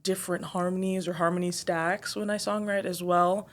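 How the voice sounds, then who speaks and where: A woman's voice: fairly low pitch, little pitch movement, moderate pace. A young woman from Zambia, an R&B singer, chatting as a guest in an interview, thoughtful and full of fillers. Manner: thoughtful, many fillers and "like", laughs often. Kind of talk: casual interview chat.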